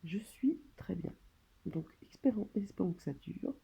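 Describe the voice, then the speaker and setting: Husky, slow-spoken French woman, slightly creaky. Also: mid-range voice, animated, warm tone. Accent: French, from France. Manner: hesitant, pausing, trailing phrases. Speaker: vlogger documenting own surgery stay. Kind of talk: casual spoken video diary. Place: hospital room.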